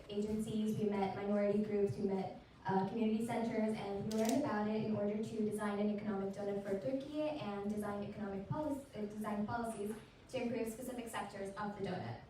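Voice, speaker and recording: A woman's voice: medium pitch, darkish tone, a little hiss or hum. A teenage girl from Pakistan presenting her school module, explaining steadily with the occasional restart. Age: teens. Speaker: student presenter. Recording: echoey room, mic far off.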